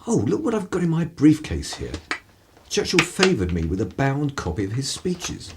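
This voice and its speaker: An actor playing a cynical, irreverent 1930s English broadcaster in a radio play, with a husky voice and sardonic, theatrical turns of phrase. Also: male voice, medium pitch, huge pitch swings, normal speed, very noisy. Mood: mocking, theatrical.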